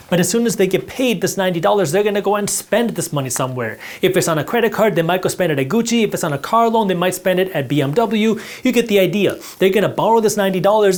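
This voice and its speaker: A man whose first language is Punjabi, teaching personal finance in a direct, emphatic way while drawing on a board and speaking straight to the viewer. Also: high-pitched.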